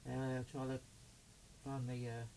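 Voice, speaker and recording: Man, middle-aged, unhurried muttering hobbyist presenter, average pitch, slightly noisy home-made recording. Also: level tone, warm tone. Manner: muttering to himself, rambling. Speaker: hobbyist presenter unboxing a CB radio. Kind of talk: casual hands-on unboxing chat. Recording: clear background noise.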